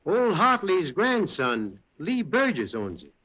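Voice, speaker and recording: A stammering nervous mill manager. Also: male voice, sits high, wildly swooping pitch, slow, full character voice. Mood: uneasy. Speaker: general manager. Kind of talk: 1940s radio acting. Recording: dull old radio recording.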